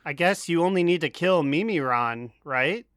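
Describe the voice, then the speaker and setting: A man's voice: on the high side, animated, moderate pace, a bit bright, dry recording, clean studio sound. A man co-hosting a casual gaming podcast, wryly griping with the odd swear word. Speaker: podcast co-host. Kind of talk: casual chat about online gaming.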